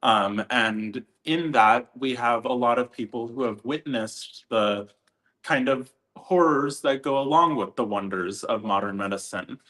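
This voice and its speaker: A man moderating a discussion, phrasing his thoughts in a measured, wordy and hesitant way. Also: medium pitch, fairly bright tone.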